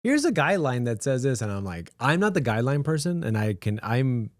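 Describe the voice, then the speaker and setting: Male podcast host, friendly interviewer, quick affirmations, dry studio sound. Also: medium pitch, big pitch swings, no room sound. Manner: encouraging, quick interjections of agreement. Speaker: podcast host, loan officer. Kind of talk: casual interview.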